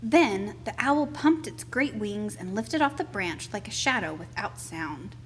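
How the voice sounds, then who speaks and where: A woman reading a children's picture book aloud as expressive, deliberate storytelling. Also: medium pitch, slight background noise.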